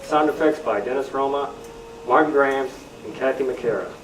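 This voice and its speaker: Male announcer, old-time radio sign-off, medium pitch, heard from a distance in a reverberant room. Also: normal speed, noisy background. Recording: echoey room, far from mic.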